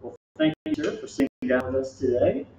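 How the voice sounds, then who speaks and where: A man's voice: medium pitch, huge pitch swings, fairly quick, gravelly. A man hosting a casual interview, asking short questions and giving friendly, encouraging replies. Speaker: interviewer, host. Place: gym. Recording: echoey room, distant muffled mic, faint hiss.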